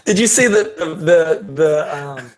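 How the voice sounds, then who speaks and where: A male podcast co-host with a fairly high voice. He chats casually and jokingly, in halting phrases that tend to trail off. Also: expressive.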